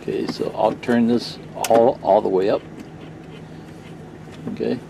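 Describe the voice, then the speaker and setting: A man giving a casual hands-on tutorial, talking haltingly as if thinking aloud. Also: lowish voice, gravelly. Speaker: host of a beginner radio tutorial. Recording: loud steady hum and hiss.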